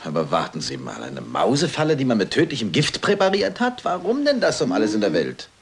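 Skeptical deep-voiced police inspector. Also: male voice, wildly swooping pitch, fairly quick. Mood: baffled. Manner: doubting, questioning. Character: skeptical male police chief inspector, impatient and baffled. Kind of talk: German dubbed crime film acting.

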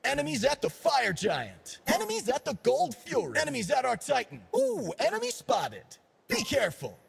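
An actor playing a cocky, laid-back young dancer and DJ in a video game, with swaggering party slang and a gravelly voice. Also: male voice, very high voice, wildly swooping pitch, moderate pace, slight background noise, heavily acted. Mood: energetic, urgent. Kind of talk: video game character voice lines.

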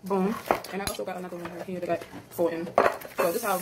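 A woman's voice: fairly low pitch, steady tone, very fast. A young woman chatting casually to her viewers while cooking in her home kitchen, calling them y'all. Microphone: phone camera on the counter. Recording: noisy phone recording with kitchen clatter.

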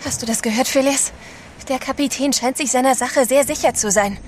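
Woman as worried gentle lady explorer in a radio play, highish voice, noisy ship background. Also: a little fast, lightly acted. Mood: concerned. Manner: apprehensive, gentle. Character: anxious, tender female companion on expedition. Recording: noisy ship ambience effects.

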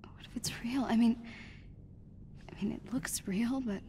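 Awkward, hushed teenage superhero fangirl. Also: on the high side, steady tone, slight background noise. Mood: anxious, vulnerable. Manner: halting, self-doubting. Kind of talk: naturalistic video game acting.

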